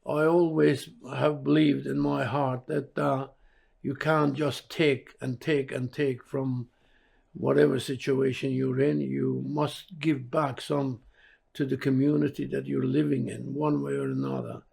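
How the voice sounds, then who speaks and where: An older man from Iran speaks reflectively in an interview, halting and searching for his words. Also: medium pitch, fairly even intonation, gravelly, a little mellow, breathy edge. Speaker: service club member interviewed.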